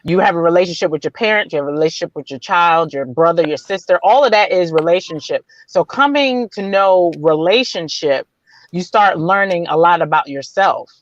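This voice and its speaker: A woman's voice: on the low side, fairly quick, dry recording. Woman panelist; affirming, preacherly; dry lo-fi call sound. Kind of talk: casual panel discussion. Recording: dry, dull lo-fi audio.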